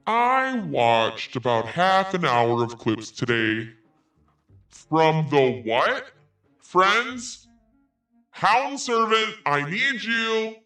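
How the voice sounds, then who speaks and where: A man hosting a commentary podcast, reading messages aloud with biting sarcasm. His voice sits a little high and jumps around in pitch as he lingers over the words. He sounds set back from the microphone, with faint music underneath. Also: sing-song, very slow, bright, forceful tone, recorded from a distance. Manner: sarcastic, swearing, laughs through reading. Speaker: podcast host.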